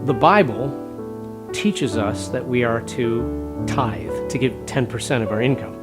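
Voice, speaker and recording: A man preaching a stewardship sermon as pastor, measured and plain-spoken, working through numbers aloud. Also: mid-range voice. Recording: music bed underneath.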